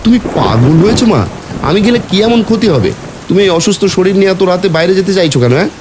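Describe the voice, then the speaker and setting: A man voicing an anxious, devoted young son in an animated horror story, speaking in an insistent, pleading, excitable way. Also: high-pitched, fast talker, background noise, voice pushed up. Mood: insistent, puzzled.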